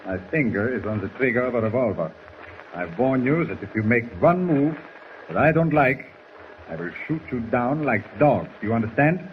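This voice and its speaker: An actor playing a cold, commanding German villain doctor in a 1940s radio drama, speaking formally and with menace. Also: male voice, medium pitch, animated, moderate pace, close to an everyday voice. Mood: threatening. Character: menacing foreign villain doctor holding captives at gunpoint. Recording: tinny noisy 1940s radio recording.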